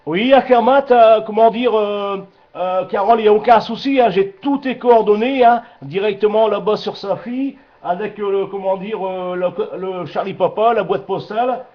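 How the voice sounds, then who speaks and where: A man's voice: high voice, moderate pace. A man chatting casually as an amateur radio operator, rambling and repeating himself with frequent filler tags. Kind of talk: casual radio contact chat. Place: two-way radio contact. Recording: dull lo-fi two-way radio transmission.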